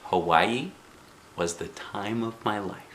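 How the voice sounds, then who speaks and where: A man voicing an excitable, boastful first-grade girl telling her journal in a dramatic, earnest, childlike way from a storybook. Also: on the low side, slow, slight background noise. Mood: happy. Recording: roomy home recording.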